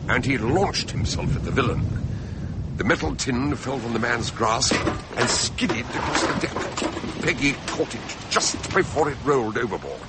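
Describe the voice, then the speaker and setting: An actor playing a mild, cautious doctor who recounts a shipboard mystery in a radio drama, with a measured, hesitant manner. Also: male voice, mid-range voice, animated, very noisy, close to an everyday voice. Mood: tense recounting. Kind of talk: radio drama narration and dialogue.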